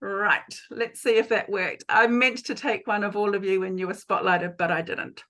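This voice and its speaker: A woman organising an online meeting over a video call, brisk and cheerful, muttering to herself as she fumbles. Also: medium pitch, steady tone, fairly bright tone. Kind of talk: casual chat on a video call.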